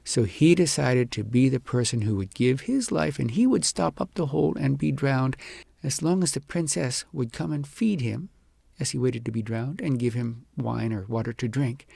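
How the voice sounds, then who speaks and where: Male audiobook narrator for fairy tales, mid-pitched with some gravel, expressive measured read, dry close sound. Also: no echo. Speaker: audiobook storyteller and host. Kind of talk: scripted storytelling narration of a fairy tale.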